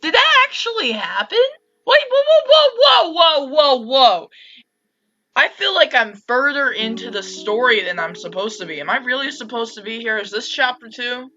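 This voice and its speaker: A woman giving casual, excitable commentary as host of a gaming let's play. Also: fairly high pitch, moderate pace, muffled recording.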